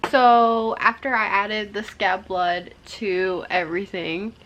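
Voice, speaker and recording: A young woman giving a chatty, rambling makeup tutorial, her voice a little hoarse from a cold. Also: medium pitch, little pitch movement, slow, fairly bright tone, a little hiss or hum, poor audio. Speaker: makeup vlogger.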